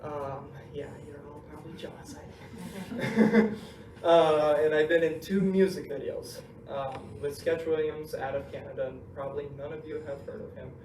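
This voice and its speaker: A man's voice: on the high side, steady tone, moderate pace, darkish tone. Young man speaking in an echoey hall. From Russia. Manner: casual and joking, many ums and uhs. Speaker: inspirational speaker. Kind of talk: informal talk to a student group. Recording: echoey hall recorded from far off with noise.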